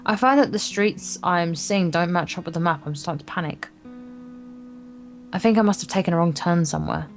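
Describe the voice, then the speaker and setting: A woman's voice: fairly low pitch, brisk. A woman co-hosting a let's play, reading visual novel narration aloud, stumbling over words and adding wry, casual asides. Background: acoustic guitar game music.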